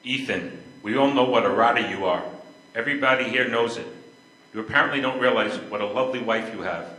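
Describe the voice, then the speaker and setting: Older man as a bright-voiced, blunt, folksy onlooker. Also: mid-range voice, brisk, lightly acted. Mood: scolding. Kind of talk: amateur readers theater play. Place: indoor live stage reading. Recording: echoey room.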